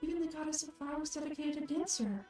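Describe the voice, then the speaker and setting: A woman voicing a sweet, earnest young female dancer in a fantasy game, with a soft, light, pleading voice. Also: high voice, quick pace, faint music behind, voice pushed up, close to an everyday voice.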